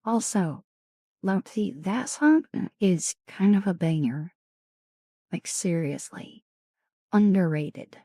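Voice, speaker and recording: Raspy woman, low voice, unhurried pace, soft-spoken, whispery asides, dry close sound. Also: lively intonation, no echo. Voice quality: raspy, deeper than usual. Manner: chatty, greets and thanks viewers. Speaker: streamer. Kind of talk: casual ASMR live-stream chat.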